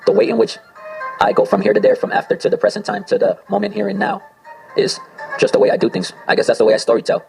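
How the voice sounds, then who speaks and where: A man's voice: high voice, wildly swooping pitch, quick pace, creaky, darkish tone. A man whose first language is Spanish, freestyling an abstract spoken word monologue, rambling and talking to himself. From Spanish-speaking home. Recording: faint music behind voice. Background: faint circus music.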